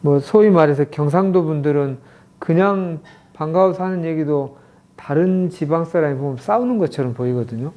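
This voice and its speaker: A man's voice: fairly high pitch, lo-fi sound. A middle-aged man, a clinic director, lecturing an audience on communication and acting out example quotes as he explains. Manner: explains slowly with many acted example quotes.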